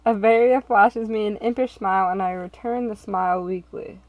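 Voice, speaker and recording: A woman reading first-person visual novel narration in an expressive, scripted storytelling style. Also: mid-range voice, normal speed, no echo, phone-quality sound.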